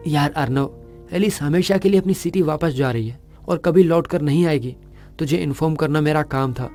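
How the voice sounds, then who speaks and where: A man's voice: on the high side, moderate pace, dark tone, lightly acted. A man playing an earnest, brooding young suitor in a dramatic audio romance. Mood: serious. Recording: music under the voice.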